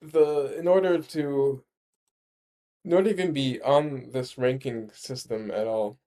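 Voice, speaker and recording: A man explaining his ideas in a casual discussion, halting and rephrasing emphatically as he goes. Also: medium pitch, fairly even intonation, mellow. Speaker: speaker explaining a research ranking system.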